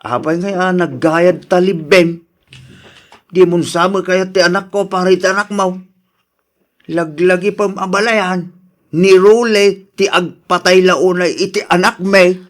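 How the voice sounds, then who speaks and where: A man's voice: high-pitched, steady tone, unhurried. An actor playing an exasperated aging father, lecturing emphatically in radio drama style. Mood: indignant. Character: exasperated aging father pressing his daughter to marry.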